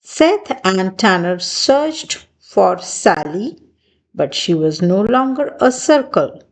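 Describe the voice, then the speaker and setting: Female children's storybook narrator, extremely unhurried delivery, highly animated intonation, expressive read-aloud for little ones. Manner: slow, deliberate, expressive phrasing. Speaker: children's book reader.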